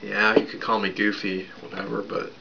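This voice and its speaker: A raspy man in his thirties rambling casually at his webcam in a small bedroom, with lots of fillers while he drinks. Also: lowish voice, steady tone, moderate pace, sharp tone. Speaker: vlogger. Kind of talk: casual show-and-tell vlog. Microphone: webcam at desk distance. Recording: dull lo-fi sound with background noise.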